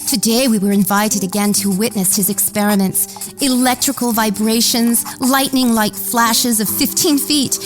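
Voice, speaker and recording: A woman plays a genteel, flirtatious society wife in a radio play. Her voice sits fairly high and is full of theatrical wonder, with music clearly heard behind it. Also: fairly even intonation, normal speed, natural voice. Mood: admiring. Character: gushing, flirtatious society wife, easily awed. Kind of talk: radio theater drama acting.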